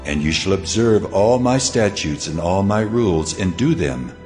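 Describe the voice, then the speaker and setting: The booming Lord God. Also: male voice, expressive, a little mellow. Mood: solemn. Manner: slow, weighty proclamations. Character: God, the almighty Lord, stern and solemn. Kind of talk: dramatized scripture reading. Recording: long hall reverb over music.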